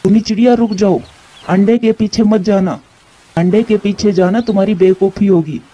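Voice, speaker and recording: A man voicing a caring, sensible male bird in a cartoon story, earnest and measured, on a muffled track. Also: high-pitched, steady tone, warm tone, slightly rough. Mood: urgent. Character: caring, sensible adult male pigeon, protective friend. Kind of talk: Hindi cartoon story dialogue.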